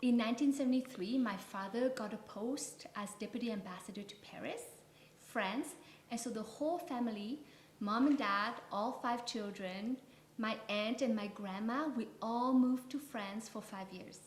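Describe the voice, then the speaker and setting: A woman's voice: a little high, a little hiss or hum. Woman's voice in an echoey room. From Vietnam. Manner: measured, storytelling. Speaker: guest. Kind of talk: interview conversation. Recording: echoey room, faint ambience.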